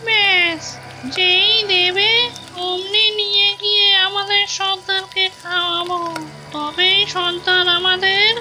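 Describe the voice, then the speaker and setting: A performer voicing a greedy, whining ghost in a cartoon, with a shrill, drawling delivery. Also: flat delivery, takes it slowly, over music, poor audio. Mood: eager, scheming.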